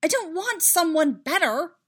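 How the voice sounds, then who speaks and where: An earnest, loving woman from an audiobook romance, put on by a female reader. She is firm and insistent, leaning on her words in a fairly high voice. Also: sing-song, measured pace, darkish tone. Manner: emphatic, insistent.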